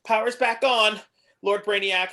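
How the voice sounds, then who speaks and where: A woman's voice: medium pitch, fairly even intonation, unhurried, sharp tone. A woman playing a sassy robot sidekick to a supervillain in a script read, with a sardonic manner. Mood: reporting. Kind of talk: amateur table read of a film script.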